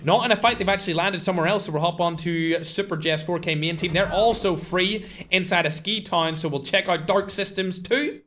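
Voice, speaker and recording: A man's voice: high voice, brisk, hardly any pauses, a little hiss or hum. A young man hosting and casting a mobile game tournament on a live stream, chatting straight to his viewers. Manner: chatty, talks straight to chat, animated bursts. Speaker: tournament host and caster. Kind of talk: live-stream esports commentary.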